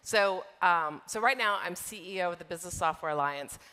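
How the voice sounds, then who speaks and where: A middle-aged woman answering interview questions on a conference stage, measured, with many fillers and restarts. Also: lowish voice, sharp tone. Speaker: software trade group executive, guest. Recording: long reverb of a large hall.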